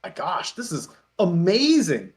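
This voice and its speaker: A man talking casually as an interview guest, telling anecdotes in an animated way and acting out remarks. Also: high voice, measured pace.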